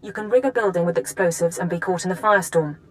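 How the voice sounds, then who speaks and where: An actress playing a businesslike intelligence handler in a spy game, briefing in a measured, precise, familiar way. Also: female voice, lowish voice, close to an everyday voice. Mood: focused, brisk. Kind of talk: video game drama acting.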